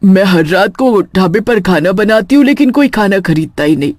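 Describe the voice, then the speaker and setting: A woman's voice: medium pitch, lively intonation, normal speed, darkish tone, no room sound, lightly acted. A woman voicing a lonely female witch who runs a small food stall, coaxing yet menacing, in a cartoon horror story.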